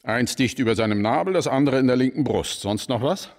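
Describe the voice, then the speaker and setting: An actor playing a gruff, sarcastic homicide detective with a curt manner in a radio crime drama. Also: male voice, mid-range voice, lively intonation, fairly quick, darkish tone, lightly acted. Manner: curt, sardonic. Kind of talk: German radio play acting.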